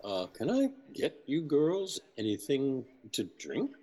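A flirty, casual male server in an audio drama. His voice is husky and crackles with fry, and he takes his time over each phrase. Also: medium pitch, wildly swooping pitch, slow pace, warm tone, exaggerated voice. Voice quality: husky, gravelly. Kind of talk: naturalistic audio drama acting.

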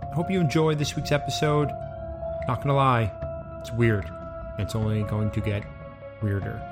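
A man's voice: mid-range voice, steady tone, moderate pace, over loud music. Dry, skeptical podcast man. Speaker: podcast co-host. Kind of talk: casual banter.

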